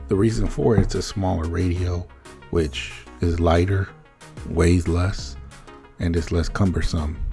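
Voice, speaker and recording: A man's voice: deep voice, warm tone. A man presenting a promotional product tutorial in a plain, informal salesman's manner. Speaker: business owner presenting products. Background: music.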